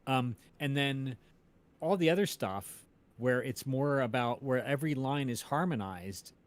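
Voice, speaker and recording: A man's voice: medium pitch, unhurried. A male co-host in a casual music discussion, explaining ideas tentatively and checking that he is on track.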